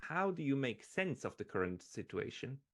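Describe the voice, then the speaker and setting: Guy interviewing someone, normal mid pitch, conversational. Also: very animated, measured pace, fairly bright tone.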